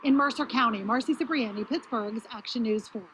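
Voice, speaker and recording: Higher-pitched American woman reporting news. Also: fairly quick, heavy background noise. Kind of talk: scripted news report narration.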